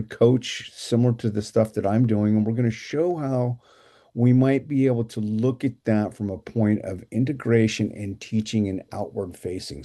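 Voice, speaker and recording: A man's voice: on the low side, dark tone. A middle-aged man hosting a casual interview show, rambling a little with fillers and repeated words. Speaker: podcast host.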